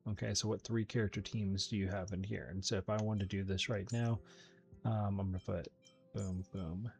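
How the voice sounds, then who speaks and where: A man with a fairly low voice hosts a gaming video. He talks through the game in a casual commentary style, with faint music playing behind him. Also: little pitch movement, moderate pace, vocal fry.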